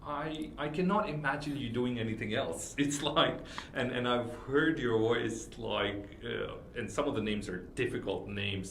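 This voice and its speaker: A man hosting a podcast interview, speaking haltingly and repeating words, with loud laughs. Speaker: podcast host. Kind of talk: casual interview. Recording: roomy with faint rumble.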